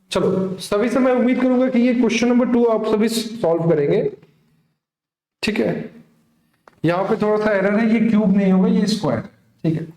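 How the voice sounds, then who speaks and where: A man's voice: sits high, mellow. A man with an Indian accent teaching an exam-prep math lesson, working through problems and often checking that students follow. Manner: instructive, checks understanding with frequent short questions. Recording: very echoey room.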